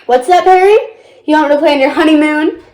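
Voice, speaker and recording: A woman's voice: high-pitched, a little fast, a little mellow, voice pushed up, close to an everyday voice. A female reader voicing an eager, confident young girl in a read-aloud picture book. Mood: excited. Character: eager, bossy young girl planning a dog wedding.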